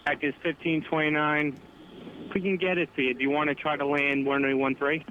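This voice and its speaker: Noisy radio, guy controller talking really fast, clipped urgent instructions, all business. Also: on the high side, little pitch movement. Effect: air traffic radio. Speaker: air traffic controller. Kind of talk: rapid radio instructions and coordination. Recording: narrowband noisy air traffic radio.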